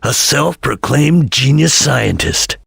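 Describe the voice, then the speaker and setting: Very raspy, serious ninja chameleon. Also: male voice, medium pitch, sing-song, takes it slowly, fairly bright tone, no room sound, studio quality, exaggerated voice. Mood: cool, observant. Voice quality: raspy, gravelly. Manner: clipped, serious, composed. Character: serious, cool-headed male ninja chameleon. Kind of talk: video game character acting.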